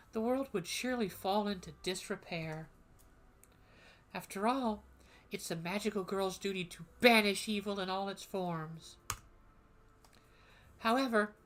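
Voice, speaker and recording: A woman's voice: mid-range voice, fairly bright tone, close to an everyday voice. A woman reading as a formal magic-history teacher. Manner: formal, measured lecturing.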